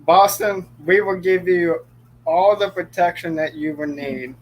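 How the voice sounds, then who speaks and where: A man's voice: high voice, steady tone, a little slow, highs cut off, slight background noise. A young man hosting a casual livestream chat, joking often and laughing easily. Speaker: livestream host.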